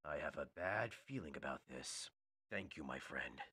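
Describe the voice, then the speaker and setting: A man voicing a solemn, mysterious ninja chameleon, speaking gravely in a hushed, measured way. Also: extremely low pitch, lively intonation, no room sound, studio quality, voice pushed down, heavily acted.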